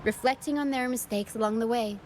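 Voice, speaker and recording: Solemn, higher-voiced young woman played by an actress. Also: a little hiss or hum, natural voice. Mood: grave, watchful. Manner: measured, solemn, explanatory. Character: composed, solemn young woman guide and marksman in a fantasy game. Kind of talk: scripted video game voice acting. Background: game music.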